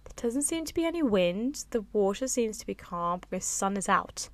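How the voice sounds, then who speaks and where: A young woman talks through a casual solo podcast, wandering from one thought to the next with sighs and fillers. Her voice sits in an ordinary middle pitch but sounds low and slightly husky today. The recording is dry and close, with no room sound. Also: big pitch swings, moderate pace, no echo. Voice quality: low and a bit husky after a late night. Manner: rambling, chatty, with sighs and frequent ums. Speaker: podcast host. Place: on a boat, small space.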